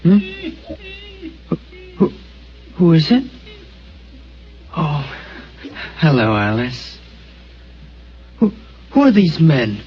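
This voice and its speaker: A man's voice: fairly high pitch, sing-song, very slow, long pauses, faint music behind, heavily acted. A dreamy, childlike young man. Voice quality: stammering, childlike wonder. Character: gentle, simple-minded, dreamy young man. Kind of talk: 1940s radio acting. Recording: muffled old radio broadcast.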